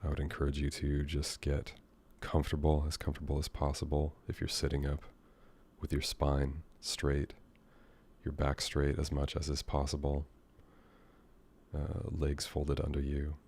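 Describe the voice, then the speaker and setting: An American man guiding a meditation in a gentle, unhurried, unscripted way, leaving long pauses between phrases. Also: very deep voice, completely flat delivery, husky. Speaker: meditation guide. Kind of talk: guided meditation, soothing and unscripted.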